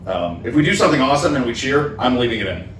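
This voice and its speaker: A man hosting a casual podcast chat, relaxed and rambling, with plenty of fillers and easy laughs, recorded in a living room with a football game on. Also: medium pitch, very fast. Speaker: podcast host and band member. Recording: roomy, distant mic, noisy background.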